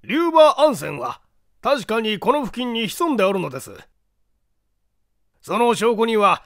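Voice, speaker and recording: A man's voice: sits high, big pitch swings, normal speed, a bit bright, dry recording, studio quality, voice pushed up, exaggerated voice. A man voicing a courteous, anxious lord of a castle in a mystery audiobook, speaking formally and theatrically. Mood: grave, explanatory. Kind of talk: dramatized Japanese mystery audiobook reading.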